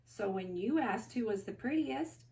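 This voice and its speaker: A woman voicing meowing storybook cats and kittens with drawn-out, childlike delivery. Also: on the high side, expressive, gravelly, a little mellow. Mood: meek. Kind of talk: reader's theater character acting. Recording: distant from the mic.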